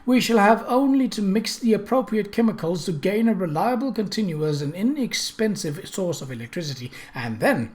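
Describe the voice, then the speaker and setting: A narrator voicing a proud, brisk male inventor who declaims emphatically, in a storybook reading. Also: mid-range voice, sing-song, darkish tone, pitched above the performer's own voice, close to an everyday voice. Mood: defiant, proud.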